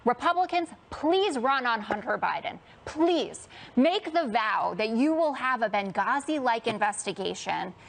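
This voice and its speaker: A woman's voice: on the high side, big pitch swings, a little slow, gravelly, few pauses, slight background noise. An American woman giving her opinions as a panelist in a television political debate. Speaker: panelist on a TV political talk show.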